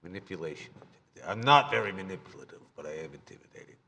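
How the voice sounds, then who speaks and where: A man's voice: sits low, animated, fast talker, pushed and bright. A man playing a tabletop game live, with casual in-character banter. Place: large convention room, live show. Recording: live show in reverberant hall.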